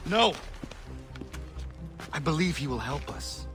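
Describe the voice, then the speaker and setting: Grim, terse young warrior. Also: male voice, a little high, lively intonation, slow pace, over music. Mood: resolute. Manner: curt, earnest, intense. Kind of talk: dramatic video game acting.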